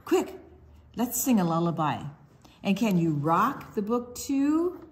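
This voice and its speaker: A woman reads a picture book aloud to small children. Her pitch moves widely and expressively. She takes her time, stretching words out and dropping into hushed asides. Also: sing-song, takes it slowly, slight background noise. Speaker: children's storytime reader.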